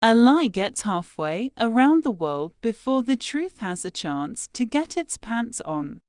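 A woman reads quotations in a slow, deliberate, measured way, pausing after each phrase. Her voice sits a bit high and moves up and down a lot. The sound is dry and close. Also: sing-song, darkish tone, no echo. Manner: measured, deliberate reading with pauses between phrases. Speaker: quote reader. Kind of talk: slow scripted quote reading.

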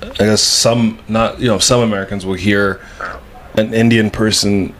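A man's voice: lowish voice, fairly even intonation, normal speed, fairly bright tone. Guy on a casual podcast, kinda argues his point n rewords as he goes, bit of noise behind. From Kenya. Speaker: comedian guest.

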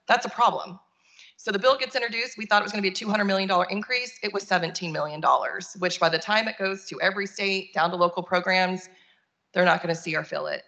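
A woman's voice: medium pitch, sharp tone. A woman giving a conversational, earnest keynote talk over a video call. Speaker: keynote speaker, nonprofit chief executive.

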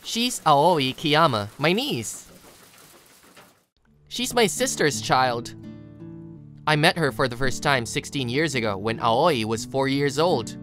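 A woman's voice: extremely low pitch, animated, takes it slowly, long pauses, a bit bright. A woman reading scripted story narration. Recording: music behind the voice. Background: plucked guitar music.

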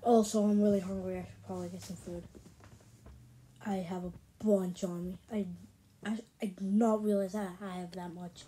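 A woman's voice: medium pitch, flat delivery, moderate pace, dark tone, low-quality recording. A woman giving casual let's play commentary, rambling and thinking aloud to her viewers. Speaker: gaming video host. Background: background music.

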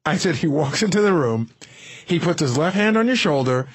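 A man's voice: fairly high pitch, animated, fairly quick, breathy edge. A man hosting a radio show, telling anecdotes in casual, rambling, profane studio banter.

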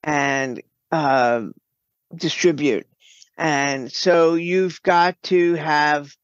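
A woman giving explanatory, halting answers as a guest in an unscripted interview. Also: low voice, no room sound, muffled recording. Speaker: podcast guest, writer and producer.

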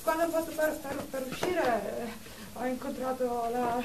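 A paranoid, nervous woman patient played by an actress in a comic audio drama. Her fairly high voice stumbles and repeats, heard from a distance in an echoey room with clear noise. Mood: nervous, halting. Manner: halting, stammering, repetitive. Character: nervous, paranoid female patient resenting questions. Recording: echoey, distant mic, noisy background.

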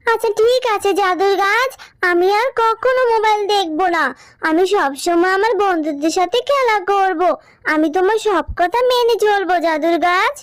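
A performer voicing an excitable, whiny little girl fox cub in a cartoon, pleading and childlike. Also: very high voice, moderate pace, no echo. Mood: obedient.

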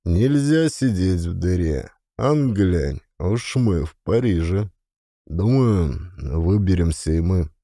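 Mellow, expressive male audiobook narrator. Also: sits low, slow pace, no room sound, clean studio sound. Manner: varies voice for characters' dialogue. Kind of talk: expressive first-person autobiographical narration.

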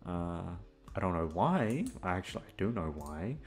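A young man with a low voice rambles casually to his listeners, his pitch moving around in a lively way. He is hesitant and self-deprecating, swearing freely as he goes. Music plays quietly behind him. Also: a little slow. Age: young adult. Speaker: audio roleplay voice actor chatting to listeners.

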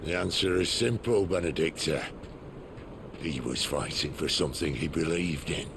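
Commanding outlaw chief: very deep, gravelly, measured and firm, for a fantasy game. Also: male voice, noisy background. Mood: calm, firm. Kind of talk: dramatic video game cutscene acting.

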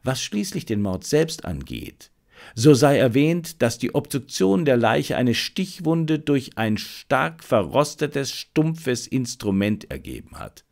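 Slow, expressive male audiobook narrator. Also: medium pitch, gravelly. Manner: measured, expressive storytelling. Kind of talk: scripted dramatic narration of a detective novel.

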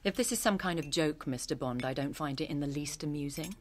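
An actress playing a frosty, curt young secretary in a radio drama. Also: female voice, low voice, fairly quick. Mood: icy, curt.

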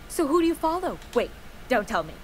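A woman's voice: sits high, big pitch swings, brisk, slight background noise. A woman voicing a chatty, eager young sports fan from a frontier town in a video game, talkative and gushing. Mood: excited. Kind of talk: video game character acting.

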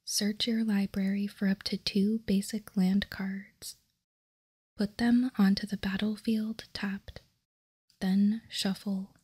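A woman whispering softly in an unhurried, measured ASMR style as she reads out and comments. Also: mid-range voice, level tone. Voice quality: breathy, unvoiced whisper. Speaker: host of a card-game ASMR show.

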